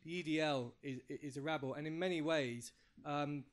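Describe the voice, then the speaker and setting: Man, audience question, handheld mic, slightly high voice, rambling preamble. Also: normal speed. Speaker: audience member. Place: conference room. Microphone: handheld microphone.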